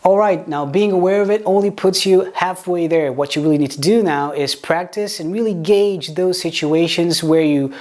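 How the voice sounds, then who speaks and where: A man whose first language is Portuguese, speaking English with a Portuguese accent, teaching pronunciation in a video lesson and demonstrating words slowly. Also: high voice, a little mellow. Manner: explains with examples, slow deliberate demonstration words, some stammered restarts.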